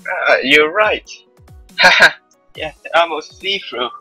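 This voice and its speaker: A mischievous, carefree young village girl, played by a man, teasing in a visual novel. The voice is very bright and forceful, with light game music behind it. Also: on the high side, takes it slowly, exaggerated voice, poor audio. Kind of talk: live visual novel read-aloud.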